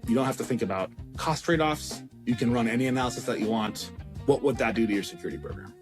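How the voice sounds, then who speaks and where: An Israeli man in his thirties tells his story in a relaxed, meandering way. He restarts his sentences often and pokes fun at himself. His pitch sits in the middle of the range for a man. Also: fast, over music. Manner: rambling, self-deprecating, many restarts. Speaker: startup CEO guest. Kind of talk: casual interview talk.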